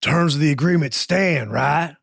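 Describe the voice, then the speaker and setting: A man's voice: a little high, unhurried, creaky, fairly bright tone, no room sound, studio quality, full character voice. A man voicing a cocky, strutting outlaw gunslinger in a tabletop western, drawling taunts. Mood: cocky, taunting. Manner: drawling taunts, says dude.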